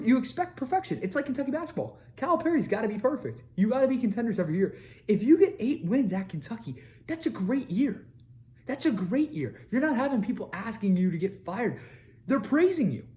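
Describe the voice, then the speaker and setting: A young man hosting a sports show, ranting with energy and repeating his points for emphasis, his voice a little raspy from screaming. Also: very high voice, big pitch swings, brisk, mellow. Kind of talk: fired-up sports commentary to camera.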